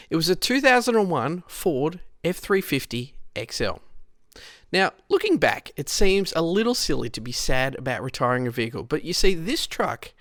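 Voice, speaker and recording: A man's voice: fairly high pitch, fairly bright tone, no room sound, clean studio sound. An Australian man reading a scripted history in a storytelling way, speaking directly to the listener with conversational asides. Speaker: narrator, rescue unit member.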